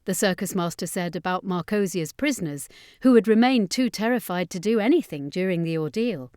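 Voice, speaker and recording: A woman's voice: medium pitch, expressive, a little fast, a little mellow, smooth, dry recording, clean studio sound. A woman reading a horror fantasy story as scripted audiobook narration.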